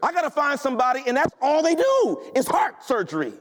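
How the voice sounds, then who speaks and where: A man's voice: very high voice, wildly swooping pitch, a little fast. A male pastor delivering a sermon in a church, talking in an emphatic, conversational way and asking the congregation questions. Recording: long hall reverb.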